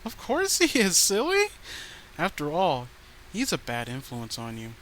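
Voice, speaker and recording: A man's voice: fairly high pitch, wildly swooping pitch, a little slow, fairly bright tone, heavily acted. A man voicing an unhinged, possessive girlfriend who is volatile, pleading and cloying, in a horror story reading. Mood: sweetly possessive. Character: unhinged clingy murderous girlfriend, possessive, swinging from rage to sweetness. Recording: noticeable background noise.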